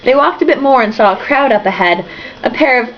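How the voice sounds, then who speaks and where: A woman's voice: fairly high pitch, lively intonation, fairly quick, lo-fi sound, a little hiss or hum. A woman reading a novel aloud for comedy, irreverent and breaking off for snarky, sweary asides. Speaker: amateur book reader and host.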